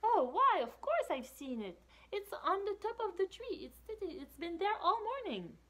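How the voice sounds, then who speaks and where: A woman's voice: very high voice, sing-song, moderate pace, fairly bright tone, voice pushed up, exaggerated voice. A woman voicing a tiny, helpful female firefly in a storybook, chirpy and singsong. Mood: matter-of-fact.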